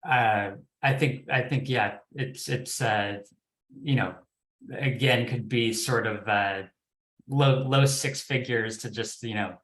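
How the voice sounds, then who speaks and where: A man's voice: mid-range voice, slow, fairly bright tone. A man speaking unscripted as a panelist, hesitant and repeating words as he thinks, recorded in an echoing room. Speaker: podcast development executive on a panel. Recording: roomy, echoing sound.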